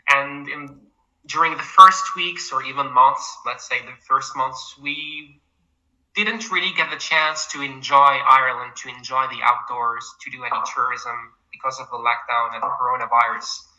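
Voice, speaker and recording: Young man, Algerian accent, very bright tone, polite and eager, interview answers. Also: mid-range voice, normal speed, lo-fi sound. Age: 20s. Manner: polite, eager, often says "absolutely". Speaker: interviewee, PhD student.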